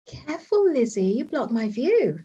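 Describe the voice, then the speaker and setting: An actress playing an observant, gossipy young gentlewoman with a confiding manner in a period staged reading. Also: on the high side, very animated, slow, muffled recording, a little hiss or hum. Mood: watchful.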